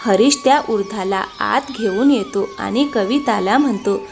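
A woman's voice: medium pitch, measured pace, darkish tone. A woman reading scripted storytelling narration as the narrator of a moral tale. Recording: music behind the voice.

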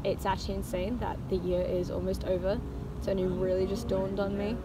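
A woman's voice: mid-range voice, little pitch movement, fairly quick, heavy background noise. A woman in her twenties chatting casually to camera as a student vlogger. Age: 20s.

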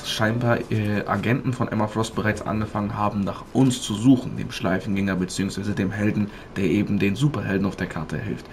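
A man with a fairly low voice commentates a game walkthrough. He explains step by step and addresses his viewers directly, with faint noise behind him. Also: steady tone, fairly quick, hardly any pauses. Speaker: gaming video creator.

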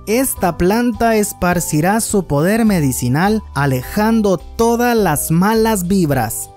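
A woman's slow, scripted voice-over, the kind heard over faint music in an online countdown video. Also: medium pitch, darkish tone. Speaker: video narrator. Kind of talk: scripted narration of a list video.

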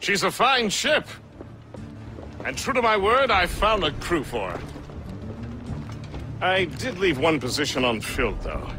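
An actor playing a cheerful, lively frontiersman in a video game. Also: sits high, unhurried, long pauses. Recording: game audio with music behind.

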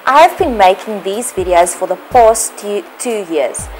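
A woman's voice: medium pitch, lively intonation, a little slow, darkish tone, soft background music, low-quality recording. Earnest Afrikaans-accented woman blessing listeners. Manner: earnest, blessing-giving, careful phrasing with small slips. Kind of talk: read devotional voice-over.

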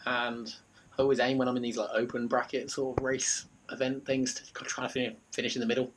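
A man giving casual asides to a handheld camera, his voice slightly croaky after exercise. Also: mid-range voice, flat delivery, fairly quick. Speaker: vlogger. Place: home room and outdoors. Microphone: handheld action camera. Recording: noisy action-camera sound.

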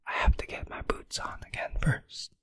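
A reader voicing a brash, fussy, complaining male centipede from a storybook in a breathy whisper. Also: normal speed, dry recording, lightly acted. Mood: grumbling, brash.

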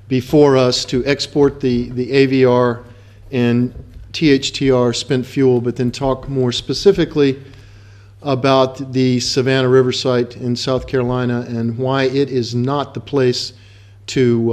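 An older American man lectures in a conference room, his voice held level with little rise and fall. He speaks into a table mic in short, halting phrases, stopping often to restart a thought. The pitch sits in the middle of the range. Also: little pitch movement, darkish tone. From United States. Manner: halting, with frequent restarts and fillers. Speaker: guest speaker, activist. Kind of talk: lecture in English with consecutive interpretation. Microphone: table microphone.

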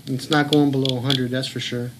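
A man's voice: medium pitch, little pitch movement, brisk. Guy talking into his phone at home, blunt and swearing, lots of hiss behind him. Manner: earnest, blunt, swears, speaks up insistently. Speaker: home video blogger. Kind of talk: casual filmed commentary. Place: home room.

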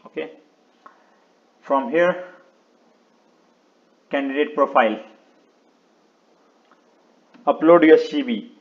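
A man with a slightly high voice narrates a screen tutorial step by step. He speaks in short, halting phrases and often repeats himself. The recording is dull and lo-fi. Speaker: video tutorial presenter. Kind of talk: step-by-step website walkthrough, unscripted screen narration.

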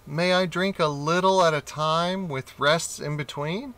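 A man's voice: a little high, fairly even intonation, unhurried, a bit bright. A man doing a tiny, polite monkey. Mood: eager.

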